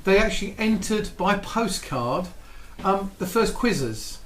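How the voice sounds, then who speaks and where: A man's voice: high voice, lively intonation, slow pace. A man telling a history story with enthusiasm, tired and losing his voice. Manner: warm, enthusiastic, with many restarts. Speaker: radio history presenter. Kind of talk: storytelling talk. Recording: echoey room with faint ambient noise.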